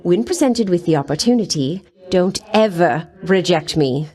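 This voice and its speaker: A woman's voice: lowish voice, expressive, moderate pace, mellow, breathy edge. A woman voicing a flirtatious, vain and jealous goddess of love in a video game, speaking in coquettish, condescending endearments with a veiled threat underneath. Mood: offended, threatening.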